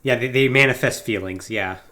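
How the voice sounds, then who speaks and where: A man's voice: mid-range voice, a little fast, bright, forceful tone. A man talking casually as a podcast co-host, explaining game storylines in a rambling, joking, exasperated way.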